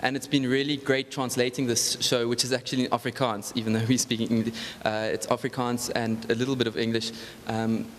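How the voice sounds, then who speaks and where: A man, an actor, answering interview questions thoughtfully, pausing often and mixing in Afrikaans words. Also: mid-range voice, little pitch movement, big-room sound, slight background noise.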